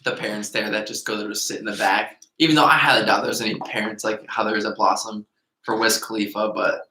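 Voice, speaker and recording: A man of about twenty, co-hosting a casual podcast chat, rambling and laughing often as he gets excited. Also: lowish voice, quick pace. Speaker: podcast co-host. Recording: roomy echo.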